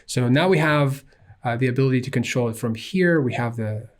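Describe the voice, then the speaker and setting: A man from Ukraine explaining software step by step at a desk microphone, casual, with frequent fillers. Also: mid-range voice, fairly quick. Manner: casual, walks through steps with frequent fillers. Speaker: software tutorial instructor. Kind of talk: screen-recorded software tutorial.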